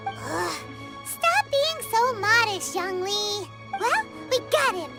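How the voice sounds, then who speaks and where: A tiny, childlike fairy girl with an extremely high squeak that leaps all over the place. She is boastful and exclamatory, a loyal video game companion, heard over prominent music. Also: sing-song, takes it slowly, exaggerated voice. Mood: eager, insistent. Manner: speaks of self in third person, exclamatory. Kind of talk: video game character acting. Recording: playful game music behind the voice.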